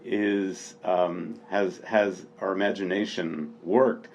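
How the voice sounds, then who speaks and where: A man speaking off the cuff in literary appreciation of a book, with halting, deliberate, thoughtful phrasing. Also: low-pitched, fairly even intonation, vocal fry, darkish tone. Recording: dull, lo-fi sound with faint noise.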